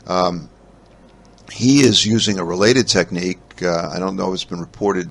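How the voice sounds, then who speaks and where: A man with a notably deep voice hosts a casual solo podcast. He rambles along haltingly, often pausing and restarting his sentences as he works through his notes. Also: steady tone, gravelly. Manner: halting, rambling delivery with frequent pauses and restarts. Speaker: podcast host. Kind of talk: casual solo podcast talk about ham radio.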